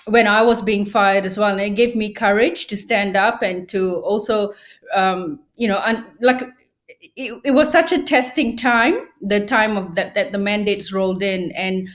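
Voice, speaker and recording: A woman from Malaysia, a guest physician telling her story in a casual interview, earnest and halting with many fillers. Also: mid-range voice.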